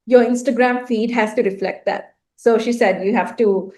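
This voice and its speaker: Excitable woman co-hosting a podcast. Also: fairly high pitch, normal speed. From Sri Lanka. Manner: excitable, eager, sentences running ahead of her. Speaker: podcast co-host, writer and speaker. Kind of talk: casual podcast chat.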